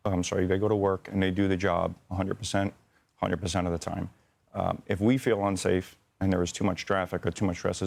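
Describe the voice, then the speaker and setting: A man with a deep voice and a lot of vocal fry gives interview answers as a working controller. He is plain-spoken and matter-of-fact, pausing and picking his words as he goes. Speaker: air traffic controller, interview guest.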